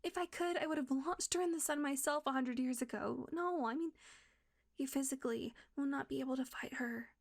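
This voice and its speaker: A woman playing a rattled vampire woman, high-voiced. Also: a little fast. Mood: shaken, whispering. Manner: shifts from hushed whispers to cursing outbursts. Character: tense female vampire guarding a hunter. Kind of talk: audio roleplay acting.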